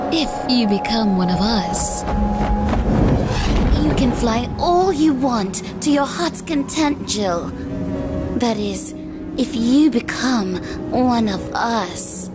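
Woman as a slow, coaxing winged elf girl. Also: on the high side, lively intonation. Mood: coaxing, soothing. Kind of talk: dramatic comic dub acting. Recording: music behind the voice.

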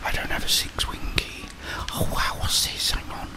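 Casting: man, 30s, constant breathy whisper, hushed muttered gameplay commentary for ASMR. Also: moderate pace. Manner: hushed, muttering to himself with tag questions. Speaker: ASMR gaming streamer.

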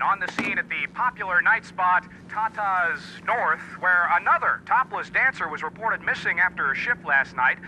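A man's voice: high voice, bright, forceful tone, a little hiss or hum, heavily acted, rough recording. A man playing an earnest TV field reporter with a clipped news delivery. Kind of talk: newscast parody.

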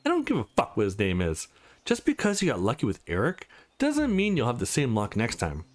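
A man's voice: mid-range voice, wildly swooping pitch, a little fast. A man voicing a brash young female sparring partner in a visual novel, blunt and goading. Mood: taunting. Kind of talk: visual novel dialogue read aloud.

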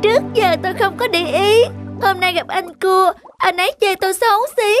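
Casting: mopey, self-pitying female shrimp, very high-pitched, children's cartoon with music. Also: moderate pace, a bit bright. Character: insecure, mopey female shrimp with hunched back.

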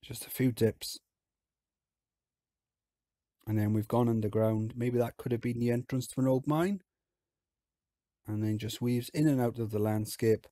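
A man with a hoarse, croaky voice from illness, chatting casually as he talks through a theme park game. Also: medium pitch, measured pace, long pauses, dry recording, clean studio sound. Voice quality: husky, croaky. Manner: relaxed, chatty, explains as he plays. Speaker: game video host.

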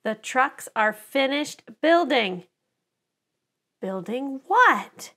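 A middle-aged woman reading a children's picture book aloud to preschoolers in an expressive, deliberate storytelling manner. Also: high-pitched. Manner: animated, deliberate storytelling with dramatized sound words.

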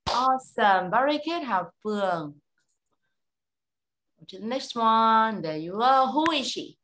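A young woman, an English teacher giving a child a speaking test over a video call, slow, clear and full of praise. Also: a little high, lively intonation, a bit bright, slight background noise.

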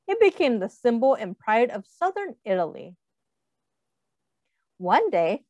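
A woman reading a picture book aloud to young children, expressively, pausing to explain and ask questions. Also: on the high side, dry recording. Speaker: read-aloud host, teacher.

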